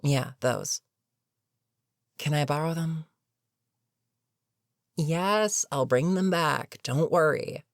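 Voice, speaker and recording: A voice actor playing an older, experienced villain in an audio roleplay, weary and dryly sarcastic but reluctantly protective, with a wry, deliberate delivery. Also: mid-range voice, vocal fry, dry recording, studio quality. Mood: exasperated, wry. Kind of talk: intimate audio roleplay monologue.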